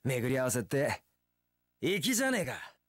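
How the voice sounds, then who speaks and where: Easygoing, lively young warrior. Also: male voice, mid-range voice, moderate pace, no room sound, studio quality. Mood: sincere. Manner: casual, breezy, rough masculine speech. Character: young easygoing male wandering warrior, romantic, sincere. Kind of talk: Japanese action game voice acting.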